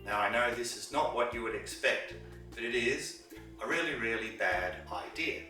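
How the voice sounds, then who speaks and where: A man teaching English to camera, stressing points by repeating words and slowing down on key phrases. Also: medium pitch, pushed and bright. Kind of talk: lesson to camera. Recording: very echoey room, distant mic, with music.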